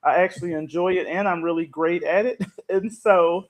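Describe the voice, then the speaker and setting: A woman's voice: fairly low pitch, moderate pace. A woman podcast host chatting casually in an earnest, explanatory way. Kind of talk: casual chat.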